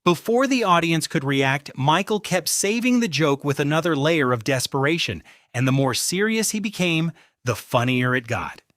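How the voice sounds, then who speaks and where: A man narrates a scripted video in a fairly high voice with very lively intonation. The delivery is measured storytelling, recorded close and dry. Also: no echo. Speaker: narrator of a celebrity compilation video.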